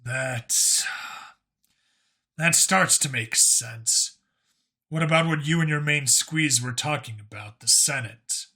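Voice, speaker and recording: A man's voice: mid-range voice, takes it slowly, pauses often, bright, forceful tone, slightly rough, exaggerated voice. A man voicing an irreverent human cop in a science fiction story, with a casual, sarcastic, grumbling manner. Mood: teasing.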